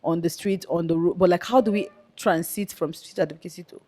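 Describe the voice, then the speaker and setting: A young woman with a Nigerian accent speaking earnestly as an interview guest, with a loud, outspoken way of talking. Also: lowish voice, a little fast. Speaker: guest, activist. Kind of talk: conversational interview.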